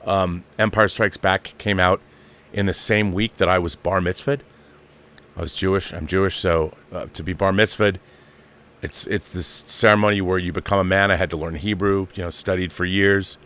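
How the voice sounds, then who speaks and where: A man's voice: sits low, fairly even intonation, normal speed, a bit bright. A man in his fifties hosting an online show, talking off the cuff in a casual, emphatic fan monologue and repeating phrases for stress. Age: 50s.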